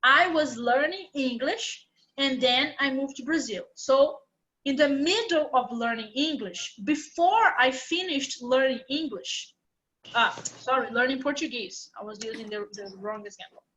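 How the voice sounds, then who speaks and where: A woman's voice: high voice, unhurried, a bit bright, lo-fi sound, slight background noise. A woman teaching an English grammar class online, explaining patiently step by step.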